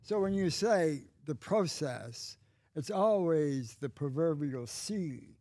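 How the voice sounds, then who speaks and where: A man in his eighties, a podcast guest, telling stories in a slow, wry and bawdy way. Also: fairly high pitch, animated, warm tone, dry recording. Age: 80s. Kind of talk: casual chat.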